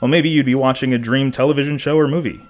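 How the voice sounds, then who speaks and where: A pensive man played by an actor hosting a podcast in an audio drama. His voice sits at a middling pitch as he rambles conversationally through a scripted monologue. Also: steady tone, quick pace, lightly acted. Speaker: podcast host.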